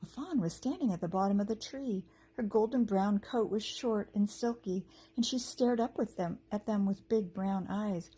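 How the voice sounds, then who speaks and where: A woman teacher reading a children's story aloud with expressive narration and a slightly husky voice. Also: mid-range voice, normal speed, hardly any pauses.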